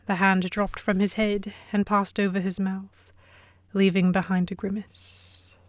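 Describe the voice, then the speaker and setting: Woman, medium pitch, scripted story narration, expressive, dramatic, first-person audio drama. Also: unhurried, dry recording, clean studio sound.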